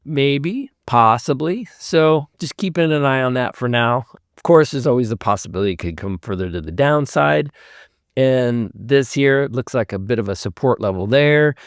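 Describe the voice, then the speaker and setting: A man with a hoarse voice from a cold, hosting a casual show where he talks through trading charts, thinking aloud in an easygoing way. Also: mid-range voice, lively intonation, normal speed, no room sound, studio quality. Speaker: host.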